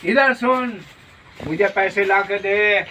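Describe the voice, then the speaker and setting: A man's voice: sits high, takes it slowly, sharp tone, a little hiss or hum, heavily acted. A man playing a drunken, abusive father who keeps insisting on money for liquor, recorded a little distant from the mic. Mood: demanding. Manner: insistent, repeating the same demands over and over. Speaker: actor in a home-made family drama.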